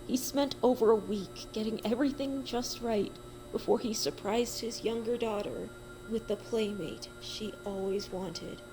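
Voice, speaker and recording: A woman's voice: medium pitch, measured pace, poor audio. Woman dramatically narrating horror over music. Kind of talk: dramatic first-person horror reading.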